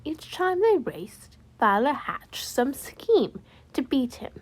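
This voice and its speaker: Animated, unhurried woman telling a story. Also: sits high. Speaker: student volunteer storyteller. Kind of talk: scripted story narration, readers theater.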